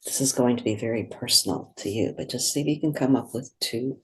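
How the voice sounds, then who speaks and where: A meditation guide speaking in a gentle, soothing, unhurried way, giving slow instructions with long pauses. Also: mid-range voice, breathy edge, low-quality recording.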